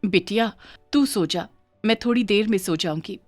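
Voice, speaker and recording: A woman's voice: mid-range voice, normal speed, soft background music, natural voice. A woman voicing a poor, hardworking village mother, weary but patient, in a cartoon moral story. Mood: weary, gentle.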